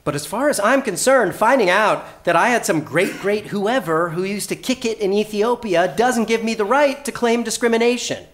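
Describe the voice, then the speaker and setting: A woman's voice: mid-range voice, animated, moderate pace, hardly any pauses, natural voice. An actress playing a principled, incredulous female office worker in a satirical audio comedy, with hesitant starts and blunt, exasperated objections. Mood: earnest, principled.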